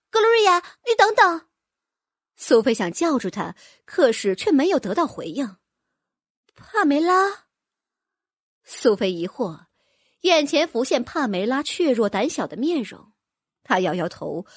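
A woman reads a fantasy novel aloud with expressive storytelling. Her voice is high and lively in its rise and fall. The recording is dry and close to the mic. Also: moderate pace, slightly rough, dry recording. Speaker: audiobook narrator. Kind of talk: scripted narration.

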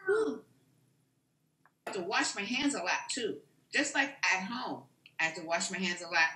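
A woman voicing an earnest, slightly nervous little puppet child, speaking simply and deliberately in a children's puppet show. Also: medium pitch, expressive, sharp tone, slight background noise. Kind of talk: children's puppet show over video call. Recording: echoey video call.

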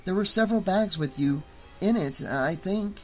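A man's voice: high-pitched, animated, normal speed, faint music behind, voice pushed up. A man voicing an anxious, bewildered young woman in a horror audiobook, hesitant and urgent.

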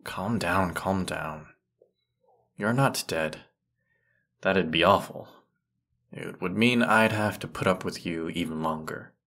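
A man's voice: sits low, slow pace, creaky, pauses often, fairly bright tone, heavily acted. A man playing a grumpy, haughty century-old teapot spirit in an audio roleplay, scolding the listener with put-upon sarcasm. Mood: scolding, dismissive.

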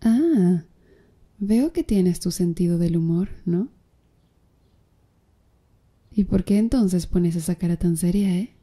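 A slow, flirty tattoo artist with a dark, mellow tone, as a woman plays her for an ASMR roleplay. She speaks softly and breathily, teasing her client with easy confidence. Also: lowish voice. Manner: soft, breathy, teasing with little laughs.

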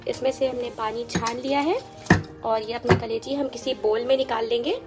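Calm woman explaining a recipe. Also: fairly high pitch, moderate pace. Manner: calm, explanatory, with small hesitations. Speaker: cooking channel host. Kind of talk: step-by-step recipe instruction. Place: home kitchen at a gas stove. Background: background music.

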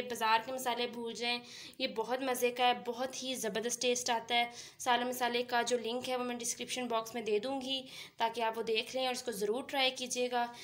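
A woman's voice: a little high, fairly bright tone. A woman hosting a home cooking channel, explaining each recipe step and speaking directly to her viewers. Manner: instructive, addresses viewers directly. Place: home kitchen at the stove.

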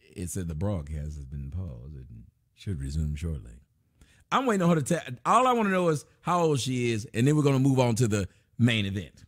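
A man's voice: on the low side, sing-song, brisk, dry recording, clean studio sound. A man hosting a casual livestream from a small room at his desk, loosely reading donations and chat aloud with plenty of profanity. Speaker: livestream host.

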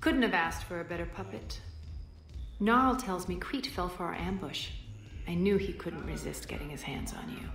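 A woman playing a haughty alien crime boss in a video game, sardonic, deliberate and commanding. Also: on the low side, gravelly. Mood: smug, commanding. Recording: slightly roomy, music behind.

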